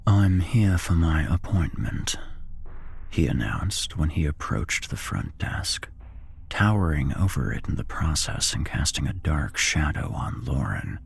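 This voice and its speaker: A man's voice: extremely low pitch, creaky. A man narrating a scripted horror story in a whispered ASMR style.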